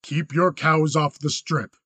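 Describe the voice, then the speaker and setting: A man voicing an angry, cold young cattle rancher in an audiobook western, flat, clipped and challenging. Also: a little high, a little slow, breathy edge, no echo, heavily acted. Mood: threatening.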